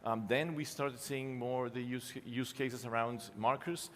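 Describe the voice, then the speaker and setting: Male presenter, forties, mid-pitched, loose and self-mocking, lots of ums, captured in a reverberant conference hall. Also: fairly even intonation, measured pace, slight background noise. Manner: casual, self-deprecating, many ums and restarts. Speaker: conference speaker, company co-founder. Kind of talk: live conference keynote with slides.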